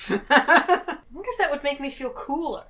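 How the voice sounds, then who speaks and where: A woman's voice: high voice, animated, normal speed, bright, forceful tone. A woman casually role-playing as a player in a tabletop game. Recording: faint noise and clicks.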